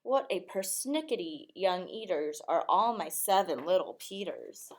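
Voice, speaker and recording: A woman's voice: on the low side, expressive, unhurried, pitched below the performer's own voice. A woman voicing a fond, much-tried mother of seven in a rhyming storybook, with an exclaiming delivery. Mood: weary, feeble. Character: weary, fond mother of seven fussy children.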